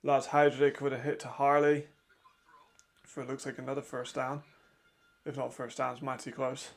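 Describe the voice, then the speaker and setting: Raspy gravelly man chatting casually. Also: mid-range voice, level tone, normal speed. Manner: chatty, laughs often, talks to himself. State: hay fever. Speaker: watch-along host. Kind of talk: casual live commentary.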